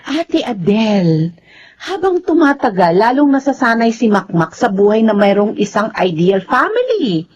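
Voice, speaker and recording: Frank Filipina younger sister, worried and emphatic, highish animated voice, radio drama, slightly muffled. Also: female voice, a little slow, a little hiss or hum. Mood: reproachful, worried. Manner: nagging, emphatic. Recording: slightly dull audio.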